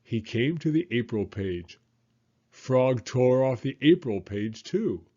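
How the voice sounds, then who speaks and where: Storyteller man in his fifties, gentle, animated read-aloud voice, lo-fi audio. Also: mid-range voice, slow. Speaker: elementary school teacher, storyteller. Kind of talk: children's read-aloud narration.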